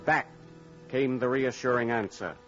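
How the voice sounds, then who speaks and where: A man giving solemn, dramatic scripted narration in the style of old-time radio, in measured phrases. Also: medium pitch, a bit bright. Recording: dull old radio recording. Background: music.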